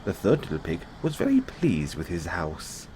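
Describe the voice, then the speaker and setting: A man narrating a bedtime fairy tale as a storyteller, in a simple, measured read-aloud delivery. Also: low voice, huge pitch swings, a little mellow, a little hiss or hum.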